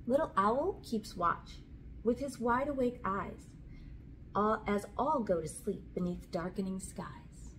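A woman's voice: mid-range voice, slow pace, a bit bright, rough recording. A woman in her thirties hosting a children's read-aloud, reading storybooks and chatting casually, recorded indoors on a camera at about arm's length. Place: small indoor room with curtain backdrop. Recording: clear background room noise.

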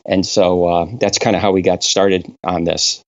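A man from Connecticut, a brewing scientist, explaining technical details in an interview, halting with many fillers. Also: deep voice, flat delivery. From Connecticut, New England. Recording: dull lo-fi sound.

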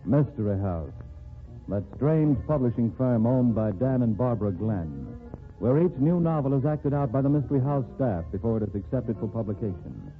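A man announcing and setting scenes in a formal, measured 1940s radio manner. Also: medium pitch, lively intonation. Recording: tinny old radio recording with faint music.

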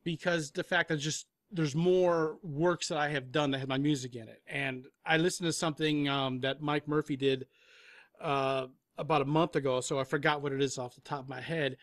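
A man gives a casual interview in a slightly high voice. He muses hesitantly and repeats himself as he goes. The recording is very dry. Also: steady tone, normal speed, dry recording. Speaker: film and audio drama composer, interview guest.

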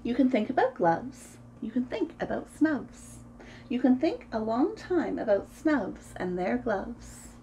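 A woman in her thirties reads to small children in a high, sing-song voice. Her pitch swoops up and down expressively, and her tone stays soft-edged and mellow. She sounds like the host of a preschool story time. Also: a little hiss or hum. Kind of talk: picture-book read-aloud for preschoolers. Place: small room at home, indoors.

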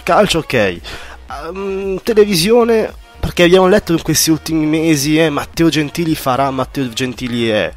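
A man's voice: on the high side, normal speed, faint music behind. A man hosting a casual radio interview, chatting in a familiar way with his guest. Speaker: radio show host.

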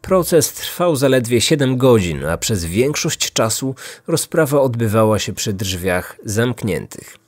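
A male podcast narrator with a mid-range voice reads a scripted true-crime account. Soft music plays behind him. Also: mid-range voice, very animated, slow pace, few pauses. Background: faint music.

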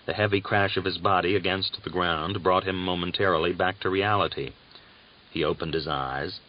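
A man narrating a novel as an audiobook, with a measured, deliberate and dramatic literary delivery. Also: low voice, fairly even intonation. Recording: dull, lo-fi audiobook recording.

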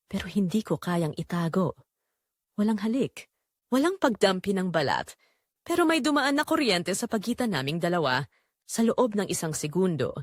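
A woman playing a guilt-torn, longing middle-aged mother-in-law, narrating an audio drama in deliberate, emotive first-person phrases. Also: mid-range voice, dry recording, studio quality.

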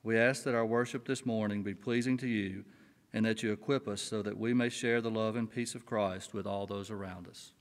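An older man leading worship from a church pulpit, reading scripture and praying in a measured, earnest way. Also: on the low side, fairly even intonation. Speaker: worship leader. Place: church sanctuary. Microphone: pulpit mic. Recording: church hall with long reverb.

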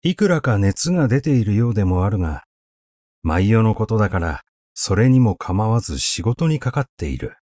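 A man reading literary prose as an audiobook narrator, in a measured, deliberate storytelling delivery. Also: fairly low pitch, big pitch swings, darkish tone, dry recording, clean studio sound. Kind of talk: literary recitation, scripted narration.

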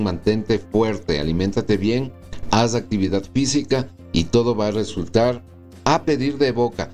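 A man in his forties giving a weekly tarot horoscope, often checking in with the listener through short tag questions. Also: on the low side. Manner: warm reassuring, checks in with "¿sí?" and "¿ok?". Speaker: tarot reader. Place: small indoor room with plants and wood blinds.

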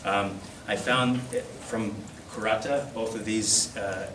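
A man with a lowish voice gives an informal presentation, picking his way haltingly through the material. He is picked up from across an echoey room, with clear noise behind him. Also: fairly even intonation. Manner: hesitant, halting delivery with self-deprecating asides. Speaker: presenter at a collectors' society. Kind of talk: informal slide-show lecture. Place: classroom, indoors. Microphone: camera across the room. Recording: distant mic, echoey room, loud background noise.

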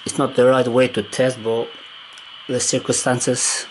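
A man who is not a native English speaker gives a casual, unscripted hands-on demonstration, hesitantly thinking aloud in halting phrases. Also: mid-range voice, level tone. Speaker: amateur radio hobbyist demonstrating gear. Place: indoors at a wooden table. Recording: clear background noise on handheld camera audio.

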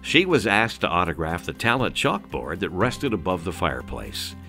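A low-voiced man narrates a documentary from a script. His delivery is very animated in pitch, and music plays behind him. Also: moderate pace, creaky.

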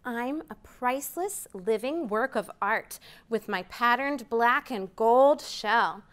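A woman in her thirties reads a picture book to young children, her voice high and swooping with wide leaps in pitch. She takes her time, speaking slowly and clearly, with excited rising counts. She is recorded in a library room by a camera at mid distance. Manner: animated storytime reading with rising counts. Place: library program room, indoors.

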